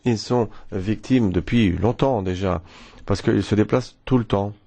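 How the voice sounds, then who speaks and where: A man giving a formal prepared statement at a press conference, measured with occasional hesitations. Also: on the low side, gravelly, mellow. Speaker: member of parliament, delegation speaker. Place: press room.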